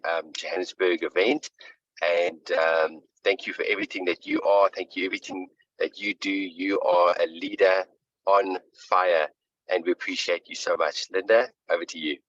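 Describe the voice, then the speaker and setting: Effusive deep-voiced male host. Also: steady tone, normal speed, dry recording. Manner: effusive, warm praise with filler ums. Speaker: meeting host. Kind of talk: hosting an online team meeting. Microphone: video call. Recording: dry, dull lo-fi call audio.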